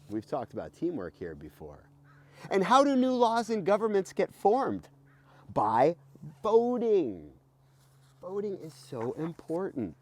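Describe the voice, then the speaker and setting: A middle-aged American man, a teacher reading aloud to children with an expressive, emphatic delivery and stretched key words, recorded outdoors at close range. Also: medium pitch. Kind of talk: animated children's read-aloud with asides. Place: outdoors beside a house in a garden.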